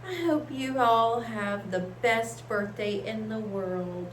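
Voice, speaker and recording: A woman voicing an excitable, affectionate little birthday girl in a storybook, gushing her announcements. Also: mid-range voice, takes it slowly, lightly acted. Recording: echoey room with faint hiss.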